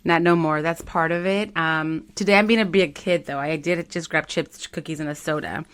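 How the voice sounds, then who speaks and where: A woman in her forties co-hosting a casual chat show, animated and playful, jumping into high quoted voices. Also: moderate pace, smooth. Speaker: podcast co-host.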